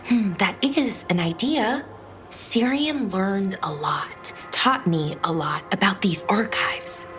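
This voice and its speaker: A woman's voice: medium pitch, slow pace, fairly bright tone, soft background music. An actress playing a curious, awkward young woman scholar in a fantasy game, chatting hesitantly as she thinks aloud.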